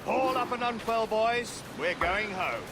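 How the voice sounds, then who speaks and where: Game merchant agent, genteel, smug, high-pitched, ornate formal delivery, very noisy background. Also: male voice, expressive, measured pace. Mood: breezy, commanding. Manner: pompous, formal, self-satisfied. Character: pompous, genteel male merchant agent, complacent. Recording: game audio with loud outdoor noise.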